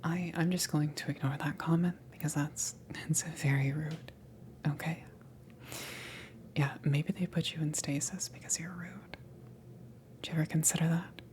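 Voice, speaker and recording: A woman playing a casual, blunt alien salvage worker in an ASMR roleplay, speaking in a soft, breathy whisper with a halting, teasing delivery. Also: low-pitched, fairly even intonation, natural voice. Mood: mildly offended. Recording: noticeable background noise, ambience. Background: ambient noise.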